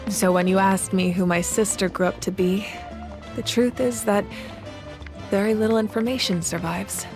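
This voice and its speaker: A woman's voice: medium pitch, steady tone, over music, natural voice. Composed, resolute warrior queen, acted by a woman. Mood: solemn. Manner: measured, formal, solemn. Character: composed, resolute warrior goddess ruling a nation. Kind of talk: video game voice acting.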